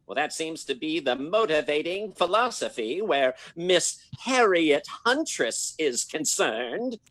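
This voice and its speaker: A man's voice: a little high, sing-song, slow, fairly bright tone, no echo, full character voice. An actor playing a prim, wealthy old man in a radio play, with formal, fussy, hesitant phrasing. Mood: fussy, concerned. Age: older.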